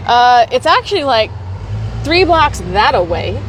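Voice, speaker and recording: A woman's voice: fairly high pitch, very animated, measured pace, far from the mic, slight background noise. A woman from Texas hosting a weekly livestream, chatting casually and rambling between viewer comments. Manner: chatty and rambling, laughs easily.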